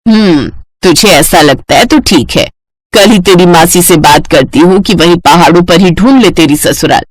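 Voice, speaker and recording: A woman's voice: lowish voice, moderate pace, dry recording, lightly acted. Woman acting a grumbling middle-aged Indian mother. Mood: exasperated. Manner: grumbling, world-weary. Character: middle-aged Indian mother, exasperated, practical, worrying wife. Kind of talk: animated moral-story voice acting.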